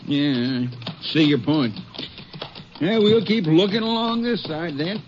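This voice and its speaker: On a dull, noisy old radio recording, a rural American sheriff talks in a fairly high voice. He is folksy and plain-spoken, a little puzzled, in the manner of 1950s western drama. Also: lively intonation, slow pace. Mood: doubtful.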